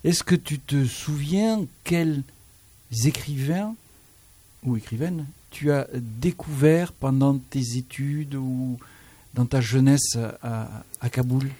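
A man's voice: mid-range voice, takes it slowly, mellow, breathy edge, no echo. A man hosting a radio studio interview, talking in a reflective, musing way with lyrical asides. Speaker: radio host.

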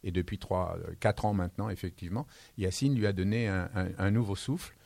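A man's voice: low-pitched, brisk, gravelly, a little mellow, dry recording. A man hosting a local radio show, interviewing a guest in a chatty, joking way and often cutting in. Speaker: radio host.

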